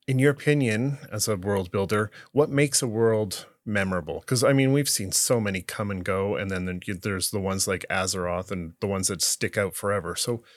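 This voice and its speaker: A man hosting a podcast, asking casual questions in a relaxed, encouraging way. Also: on the low side, moderate pace, hardly any pauses, no echo, studio quality. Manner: relaxed, encouraging, short reactions. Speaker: podcast host.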